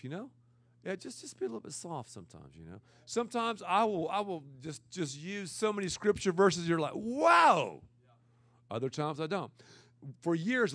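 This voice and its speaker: A pastor with a naturally loud voice who teaches with energy and repeats words for emphasis. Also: male voice, high voice, dry recording. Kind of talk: energetic teaching talk to church leaders.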